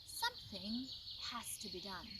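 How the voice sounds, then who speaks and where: A woman voicing a fussy, huffy gentleman in a children's storybook. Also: mid-range voice, huge pitch swings, unhurried, heavy background noise. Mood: stern. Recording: distant mic, loud insect and bird ambience.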